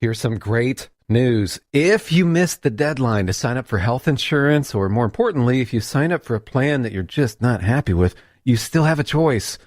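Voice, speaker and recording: A man's voice: medium pitch, expressive, normal speed, hardly any pauses, darkish tone, no room sound, studio quality. A male announcer reading a commercial in a friendly, persuasive manner. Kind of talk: scripted ad read.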